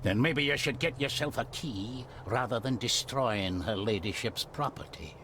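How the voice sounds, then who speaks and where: An actor playing a wary, blunt Scottish manservant in a video game drama, speaking cryptically in broad dialect. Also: male voice, medium pitch, expressive, normal speed, fairly bright tone, a little hiss or hum. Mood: warning, gruff.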